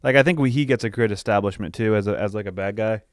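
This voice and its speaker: A man's voice: on the low side, fast, clear, resonant tone, no echo, clean studio sound. A man co-hosting a podcast, talking casually and feeling his way through his opinions. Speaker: podcast co-host. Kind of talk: casual movie review chat.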